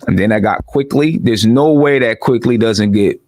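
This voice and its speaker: A man talking as a podcast guest, thinking aloud in a measured way and often restarting his sentences. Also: fairly low pitch, darkish tone, no room sound, clean studio sound. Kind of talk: casual sports talk.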